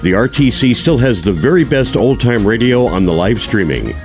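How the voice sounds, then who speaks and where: A man's voice: mid-range voice, sing-song, moderate pace, darkish tone, faint music behind. Upbeat male podcast promo announcer.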